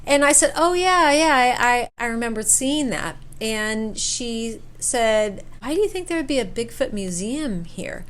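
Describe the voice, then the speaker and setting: A woman telling a personal story casually, acting out bits of dialogue and repeating words for emphasis. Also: on the high side. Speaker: storyteller sharing a personal camping experience.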